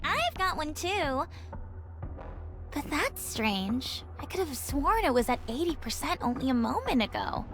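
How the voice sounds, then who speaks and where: A woman's voice: sits high, big pitch swings, a little slow, over music, full character voice. A woman voicing an inquisitive, scholarly young female analyst in a video game, musing and precise. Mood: curious, musing.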